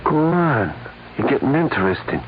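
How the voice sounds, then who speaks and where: An actor playing a skeptical, streetwise small-time crook who talks in slangy wisecracks in a 1940s radio drama. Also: male voice, mid-range voice, sing-song, normal speed, lightly acted. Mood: interested. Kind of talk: 1940s radio gangster acting. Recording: tinny, hissy 1940s radio recording.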